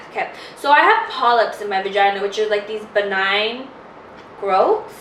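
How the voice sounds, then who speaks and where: A woman's voice: medium pitch, measured pace, fairly bright tone, a little hiss or hum. A woman in her twenties, a podcast co-host, telling a personal story in casual chat with lots of "like" and candid detail. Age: 20s. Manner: candid rambling storytelling, heavy on "like". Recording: roomy, distant mic.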